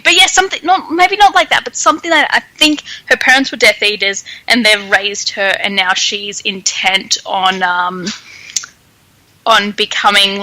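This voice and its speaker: A woman podcast host chatting casually and speculating in an animated, giggly way. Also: mid-range voice, dry recording, low-quality recording. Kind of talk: casual chat, theorizing about a story.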